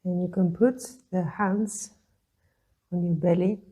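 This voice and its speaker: A woman leading a guided meditation, speaking in a soothing, gentle way with long pauses between phrases. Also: fairly low pitch, steady tone, slightly rough. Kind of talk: guided meditation, calm instruction.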